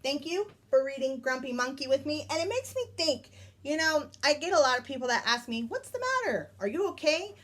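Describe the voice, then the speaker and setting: A woman reading a picture book aloud as a teacher, in an expressive storytelling style for children. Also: high voice, fairly quick, few pauses.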